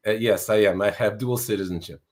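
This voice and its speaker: A man's voice: fairly low pitch, brisk. A man in his sixties from Portugal, an author answering interview questions in an earnest, explanatory way. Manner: earnest, explanatory, fluent with some restarts. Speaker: author and guest.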